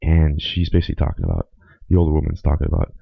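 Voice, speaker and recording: A young man hosting a casual solo podcast, hoarse and raspy from a cold, rambling with lots of ums. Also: rumbling low, sing-song, racing, dry recording, lo-fi sound. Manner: rambling, with many ums and uhs. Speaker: podcast host.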